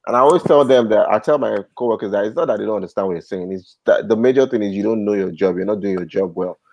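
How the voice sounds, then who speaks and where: A man with a Nigerian accent gives earnest, measured advice in a group discussion. His voice sits fairly low. The sound is dry and close, with no room echo. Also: darkish tone, highs cut off. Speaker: panelist. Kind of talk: casual discussion.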